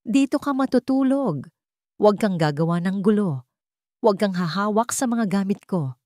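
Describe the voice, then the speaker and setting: A woman's voice: medium pitch, expressive, moderate pace, darkish tone, no echo, studio quality, lightly acted. Woman voicing a curt, commanding rich male boss. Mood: cold, commanding. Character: angry, cold rich male CEO, bossy and curt. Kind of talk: dramatic audio love story.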